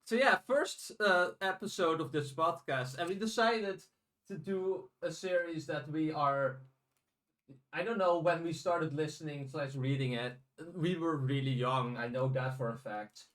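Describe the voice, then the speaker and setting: Guy hosting a podcast, chatty and blunt, voice a bit on the high side. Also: unhurried, fairly bright tone, poor audio. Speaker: podcast host. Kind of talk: casual podcast chat.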